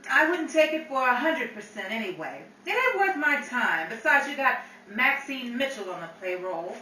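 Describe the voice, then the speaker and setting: A woman's voice: on the high side, big pitch swings, normal speed, pushed and bright. A woman playing a headstrong, sassy 1930s nightclub singer with slangy, cutting retorts, in old-time radio drama style. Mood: defiant, brassy. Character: brassy, headstrong 1930s nightclub singer, female, hard-bargaining. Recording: roomy, distant mic, hissy background.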